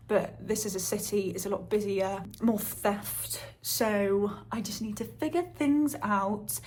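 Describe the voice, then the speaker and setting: A young woman vlogging to her phone on a tripod by a window in her flat, chatting casually and rambling with lots of fillers. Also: mid-range voice, slight background noise. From Spain. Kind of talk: casual vlog monologue to camera. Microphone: phone on a little tripod.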